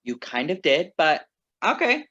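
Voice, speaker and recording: A man's voice: a little high, huge pitch swings, bright, forceful tone, breathy edge, full character voice. A man reading the part of a composed, wry ex-lover who crashes a wedding, in a staged play reading over a video call. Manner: measured, wry, earnest. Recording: muffled video call audio.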